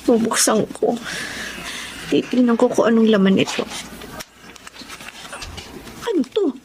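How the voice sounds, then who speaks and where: A worried young wife and mother in a radio drama, pleading and anxious,. Her high voice rises and falls a lot, and a faint hiss runs under the recording. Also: very animated, a little slow, darkish tone.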